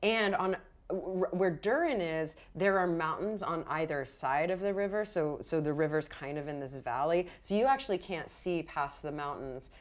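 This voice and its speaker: A woman improvising narration as a game master in a casual, animated, rambling way. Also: low-pitched, normal speed. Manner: animated, rambling, acts out characters' lines.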